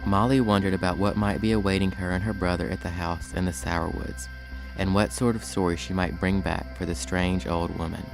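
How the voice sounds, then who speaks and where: Low, creaky young male narrator. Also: level tone, fairly quick, rough recording. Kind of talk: scripted story narration. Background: music.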